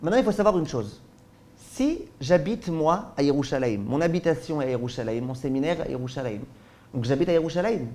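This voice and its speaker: Man, rabbi giving a lesson, fairly high voice, asks rhetorical questions, acts out examples. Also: lively intonation, brisk, darkish tone. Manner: frequent checks with listeners ("d'accord?"). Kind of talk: spoken Torah lesson.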